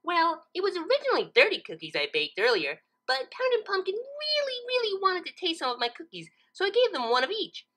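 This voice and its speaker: A woman voicing a cheerful, scatterbrained young female cartoon pony with a giggly, excitable, breezily confident manner. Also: very high-pitched, fairly quick, hardly any pauses, voice pushed up, exaggerated voice. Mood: bubbly, excited.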